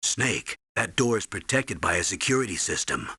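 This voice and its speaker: A stern American colonel briefing an operative in a video game. His low voice is husky and forceful, with clipped, instructional authority. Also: very animated, normal speed, no echo, heavily acted. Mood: instructive. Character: stern older military colonel giving mission orders by radio. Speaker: mission commander and briefer.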